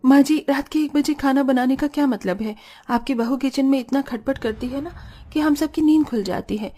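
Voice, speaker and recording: A woman's voice: high voice, normal speed, warm tone, natural voice. A woman voicing an annoyed, dramatically complaining neighbour in a cartoon family drama. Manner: indignant, dramatic.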